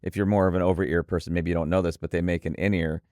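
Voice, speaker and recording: A man with a very low voice runs a relaxed interview, circling his questions with restarts and second thoughts. The sound is close and dry, with almost no room echo. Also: very fast. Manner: thinks aloud with restarts and hedges. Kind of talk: casual conversational interview.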